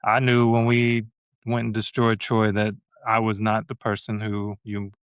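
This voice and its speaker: A man's voice: lowish voice, completely flat delivery, a little slow, clean tone, dry recording, clean studio sound. A man co-hosting a casual podcast chat by video call, laid back and slangy. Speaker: podcast co-host. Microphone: video call webcam.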